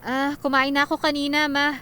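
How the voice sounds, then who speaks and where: A resentful young daughter of nineteen in a radio drama. Her voice is very high and sharp, with a cold, curt, exasperated manner. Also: little pitch movement, fairly quick, a little hiss or hum. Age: young adult.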